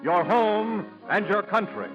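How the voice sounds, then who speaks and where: High-pitched formal American male radio announcer. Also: expressive, slow pace. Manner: formal, proclaiming. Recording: muffled 1940s radio recording. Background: loud music.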